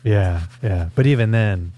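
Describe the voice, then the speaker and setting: A man co-hosting a casual conversation about audio gear in a low-key, conversational way. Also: a little slow, mellow, slight background noise. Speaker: co-host and reviewer.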